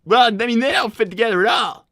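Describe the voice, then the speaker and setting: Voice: mid-range voice, sharp tone, lightly acted. A performer voicing an awkward young male fox who stammers and restarts his sentences, in improvised live character roleplay. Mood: flustered. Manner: stammering, halting, with many restarts. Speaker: character voice actor on a live stream.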